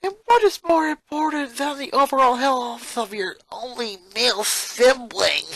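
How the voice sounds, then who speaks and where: Someone voicing an exasperated, high-pitched young cartoon boy. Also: a little slow, bright, forceful tone, dry recording, heavily acted. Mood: frustrated, pleading. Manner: indignant, pleading, emphatic.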